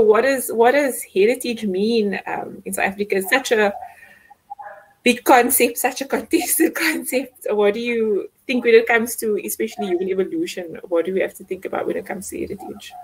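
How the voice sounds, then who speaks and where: A woman's voice: fairly high pitch, moderate pace, breathy edge, rough recording. A woman moderating an online panel discussion, friendly and hesitant with many fillers, over a video call.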